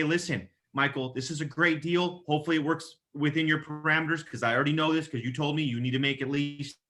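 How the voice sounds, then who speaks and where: A man with a slightly high, bright and punchy voice coaches listeners like a real estate agent. He is casual and emphatic, mildly profane, and slips into example phone pitches, often checking in with a quick question. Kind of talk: conversational coaching with acted-out example phone calls.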